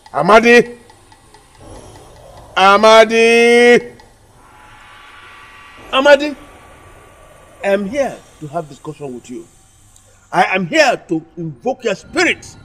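A man's voice: a little high, big pitch swings, takes it slowly, long pauses, fairly bright tone, slightly rough, soft background music, exaggerated voice. An actor with a Nigerian accent playing an emotional man in a film drama, by turns tender and commanding. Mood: fierce, commanding.

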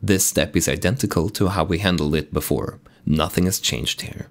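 A man with a raspy voice from being sick, calmly explaining code in a tutorial walkthrough. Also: deep voice, moderate pace. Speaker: game dev tutorial host. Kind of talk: screen-recorded coding tutorial narration.

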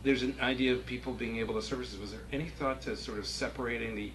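A man speaking as a review board member, giving halting, hedged critique as he thinks aloud at a meeting. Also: medium pitch, steady tone. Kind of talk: spontaneous critique at a public meeting. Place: small meeting room, indoors. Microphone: distant room camera. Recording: noisy meeting-room recording.

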